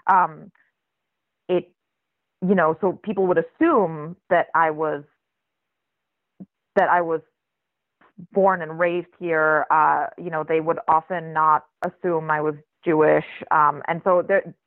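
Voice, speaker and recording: Gravelly, thoughtful voice over a phone line. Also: mid-range voice, fairly even intonation, slow pace, long pauses, dry recording. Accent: flat American. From Israel. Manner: thoughtful, searching, many restarts. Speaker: writer, interview guest. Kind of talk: reflective conversational interview. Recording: tinny phone-line recording.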